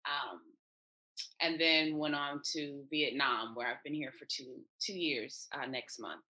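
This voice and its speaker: A woman's voice: rumbling low, fairly even intonation, takes it slowly, sharp tone, poor audio. An American woman chatting as a podcast guest, telling upbeat stories over a remote call. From United States. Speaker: podcast guest, expat traveler. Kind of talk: casual interview chat.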